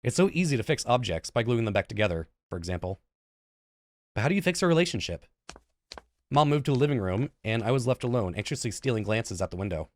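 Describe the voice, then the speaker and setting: A man's voice: medium pitch, big pitch swings, quick pace, no echo. A man in his thirties reading a visual novel aloud as a let's play host, in a dramatic storytelling way with casual asides and stumbles. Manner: dramatic storytelling read with stumbles and self-corrections. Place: indoor room at a gaming setup. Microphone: close desk microphone with headset. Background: game music.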